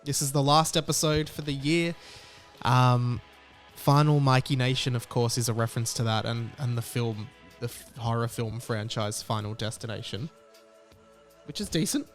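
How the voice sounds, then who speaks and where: A man's voice: mid-range voice, moderate pace, soft background music. A young Australian man hosting a podcast, talking in a casual, chatty and emphatic way on his own. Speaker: podcast host. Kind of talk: casual solo podcast monologue.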